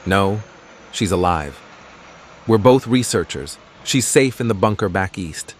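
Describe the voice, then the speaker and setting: A man's voice: deep voice, animated, measured pace, a little hiss or hum. A man playing a weary, guilt-ridden research scientist in a horror audio comic. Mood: subdued, worried. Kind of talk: dramatic audio comic acting.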